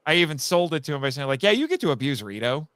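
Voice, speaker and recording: A man chatting casually as a guest on a live podcast, telling stories in a rambling, animated way and acting out quoted remarks. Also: a little high, racing, clean tone, no room sound. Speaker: guest, audio drama writer.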